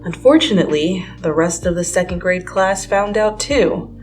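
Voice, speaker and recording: A woman's voice: fairly low pitch, normal speed, darkish tone, rough recording. Woman narrating a story over music. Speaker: fan fiction reader. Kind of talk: scripted dramatic narration. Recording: music under the voice.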